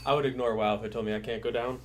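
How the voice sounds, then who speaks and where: A man's voice: medium pitch, steady tone, very fast, slight background noise. A man streaming an online raid, chatting casually and teasing his teammates. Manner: joking, teasing banter. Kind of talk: casual gaming stream chat.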